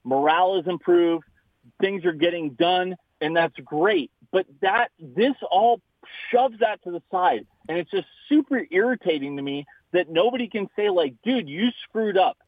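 A man's voice: high-pitched, moderate pace, dry recording. A man in a casual, opinionated chat as a guest, talking bluntly with a joking swagger. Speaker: guest, podcast host. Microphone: phone line. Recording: tinny phone line.

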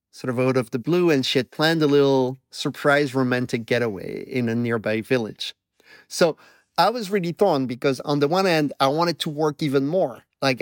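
A man's voice: medium pitch, no echo, studio quality. A man with a Belgian French accent, a researcher explaining his studies and telling a personal story in an interview, thoughtful and self-deprecating. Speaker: emotion researcher guest. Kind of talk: conversational interview.

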